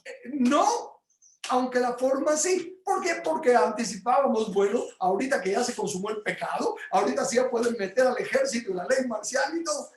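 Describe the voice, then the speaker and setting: A man teaching his home group about praise in a casual but animated way, jumping into loud exclamations and stumbling over fillers. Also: very high voice, normal speed, echoey room. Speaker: Bible teacher.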